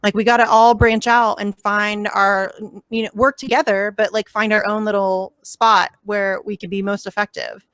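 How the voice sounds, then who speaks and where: A woman hosting an activist livestream, talking casually and emphatically with lots of fillers, her voice going hoarse and cracking. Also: mid-range voice, little pitch movement, normal speed, fairly bright tone, no echo, poor audio. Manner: emphatic, filler-heavy, passionate. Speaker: vigil livestream host.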